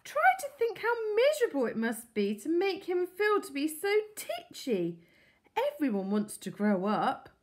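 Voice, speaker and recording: A woman's voice: high-pitched, huge pitch swings, a little slow, pitched above the performer's own voice, full character voice. A woman reading a storybook aloud, voicing a sweet, effusive middle-aged woman who dotes on her pet. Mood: insistent. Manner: effusive, pleading.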